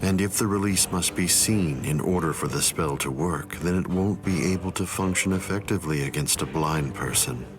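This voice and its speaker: A man voicing a calm, coldly condescending villainous captain in an anime game, with measured, composed delivery. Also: sits low, natural voice. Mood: cold, smug. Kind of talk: English voice acting for anime game cutscene. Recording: dramatic music behind the voice. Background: loud music.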